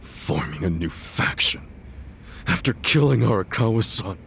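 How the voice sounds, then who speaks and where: A middle-aged yakuza with a loyal heart for a game cutscene. His voice sits low. He talks bluntly and earnestly, with slight noise behind him. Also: very animated, measured pace, vocal fry, a bit bright, full character voice. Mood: shocked, pained. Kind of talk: dramatic game voice acting.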